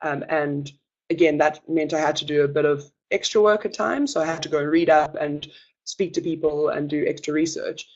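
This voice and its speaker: A young adult gives a webinar presentation as a student presenter, talking in a reassuring way with many hesitant filler pauses. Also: medium pitch, fairly even intonation, muffled recording.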